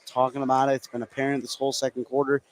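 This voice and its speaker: A man's voice: medium pitch, completely flat delivery, rapid-fire. An American man working as a color commentator, giving analysis during a live basketball broadcast. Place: high school basketball gym. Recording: faint gym noise behind the voice. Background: gym ambience.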